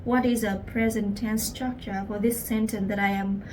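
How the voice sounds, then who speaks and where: A young Vietnamese woman, a language teacher, telling her personal story in English with a Vietnamese accent, speaking slowly and earnestly to the viewer. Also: mid-range voice, fairly even intonation, darkish tone, far from the mic. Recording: voice a little off-mic, faint room noise.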